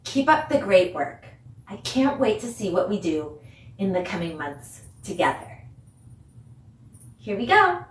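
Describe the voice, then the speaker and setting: A woman giving an encouraging, deliberate dance lesson for children, demonstrating as she teaches. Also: medium pitch, very animated. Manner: mixes English with simple Spanish phrases. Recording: echoey room, distant microphone.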